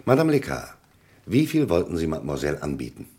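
An actor playing a shrewd, commanding police commissioner in a formal, probing, theatrical crime radio play style. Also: male voice, very deep voice, wildly swooping pitch, fast talker, a little mellow, slightly rough, exaggerated voice. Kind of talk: mid-century German crime radio play acting.

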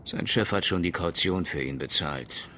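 An actor playing a tough police detective in a radio crime drama, speaking in a dry, blunt manner. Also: male voice, low voice, moderate pace, sharp tone, slight background noise.

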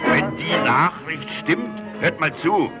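An actor playing an excitable coffeehouse patron with a lively theatrical manner in an old radio play. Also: male voice, a little high, takes it slowly, over loud music. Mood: excited. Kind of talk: old radio drama acting.

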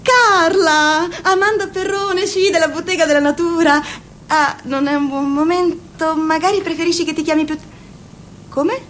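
A woman's voice: very high-pitched, fairly even intonation, normal speed, a bit bright, a little hiss or hum. An actress playing Amanda, a fussy, chatty mother and saleswoman who puts on a cheerful manner, in a radio drama. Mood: phone chatter. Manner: chatty, fussing, falsely cheerful on the phone.

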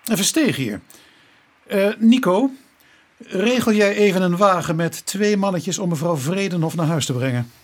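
An actor playing a courteous, methodical police inspector in a Dutch radio play, with a probing, matter-of-fact manner. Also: male voice, sits high, expressive, normal speed. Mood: brisk instructing.

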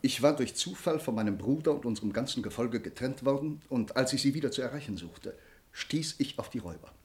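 A man's voice: medium pitch, lively intonation, brisk, close to an everyday voice. Man acting an earnest nobleman with sweeping delivery. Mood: grave, troubled. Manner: formal, eloquent, earnest. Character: honor-bound young nobleman, grateful, earnest, passionately restrained. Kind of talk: German radio play acting of classic drama.

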